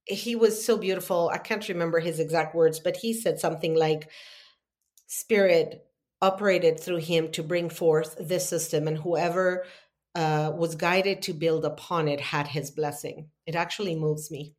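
A woman from Argentina, a podcast guest, talking earnestly in a casual conversation. She restarts her sentences often and jumps up high when she imitates the people she quotes. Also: normal speed. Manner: earnest and animated, with frequent restarts; voices quoted callers in a high, lively tone.

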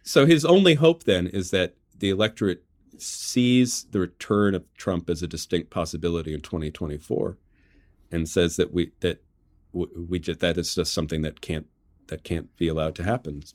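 An American man giving political commentary as an interview guest, measured and halting as he restarts phrases to qualify his points. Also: low-pitched, no echo. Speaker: interview guest, journalist and author.

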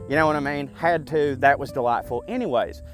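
A man's voice: on the high side, brisk, faint music behind. A man in his thirties with a Southern US accent, talking casually in a chatty, profane, rambling way as a podcast host. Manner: chatty, profane, self-deprecating, frequent fillers.

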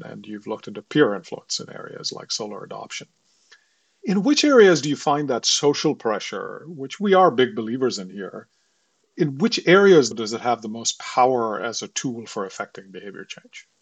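A man's voice: fairly low pitch, creaky, breathy edge, no room sound. A man hosting an interview, speaking conversationally and discursively, with asides leading into his questions. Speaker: podcast host and investor.

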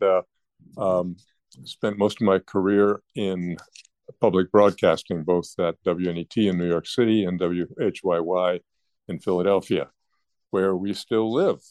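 A man's voice: low voice, steady tone, measured pace, darkish tone, no room sound. An older man who grew up in Latin America, casually introducing himself to a group. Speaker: group participant, former broadcasting professional.

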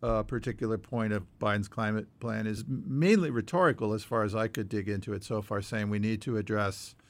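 Low, creaky-voiced man co-hosting a podcast. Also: fairly even intonation. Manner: measured, explanatory, often hedging and qualifying. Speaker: podcast co-host. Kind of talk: conversational news discussion working through numbered points.

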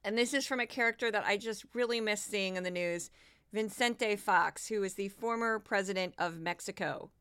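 A woman's voice: mid-range voice, normal speed, fairly bright tone, smooth, no room sound, studio quality. A woman hosting a podcast, giving sarcastic, casual commentary between news clips. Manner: sarcastic, wry. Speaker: podcast host.

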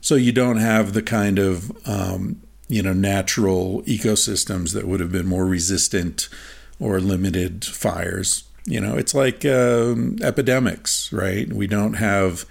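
A man hosts a casual interview with a somewhat low, gravelly voice. He explains his thoughts at length and pauses often with ums. His delivery is thoughtful and unhurried. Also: fairly even intonation. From United States. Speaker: podcast host.

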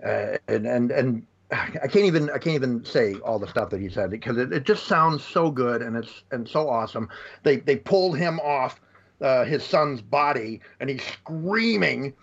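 A man, a podcast guest, retelling film scenes in a rambling, halting way with many restarts. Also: mid-range voice. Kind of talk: casual podcast chat. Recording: dull lo-fi.

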